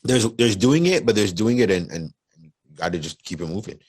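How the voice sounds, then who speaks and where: Young man from Nigeria, native Yoruba speaker, deep voice, lively casual podcast guest, dry close mic. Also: brisk, slightly rough, no room sound. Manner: animated, repeats words, rising excitement on sports talk. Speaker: podcast guest, life insurance agent. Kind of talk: casual conversation.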